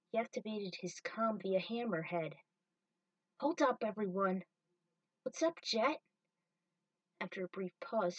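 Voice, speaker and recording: A woman's voice: mid-range voice, darkish tone, dry recording, recorded from a distance, poor audio. A woman storyteller narrating a piece of fan fiction.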